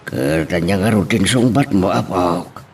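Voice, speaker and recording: Casting: male actor as kindly, devout grandpa, low voice with swooping melody, radio-style drama, distant noisy mic. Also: expressive, unhurried, darkish tone. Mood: coaxing, inquisitive. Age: older. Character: encouraging, pious grandfather with a gentle teasing streak. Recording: distant mic with faint noise.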